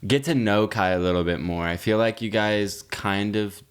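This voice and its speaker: A man with a low-pitched voice co-hosts a chatty podcast. His talk is casual and slangy, full of comic overstatement and loose storytelling. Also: normal speed, clean tone. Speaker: podcast co-host. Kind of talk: casual chat.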